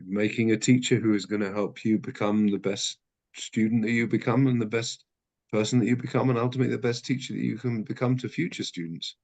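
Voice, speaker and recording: A man with a fairly low voice leads an adult study class. He talks in a halting way, full of restarts and self-corrections, as he works through an idea out loud. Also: fairly even intonation. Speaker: class teacher. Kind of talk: teaching a text study class.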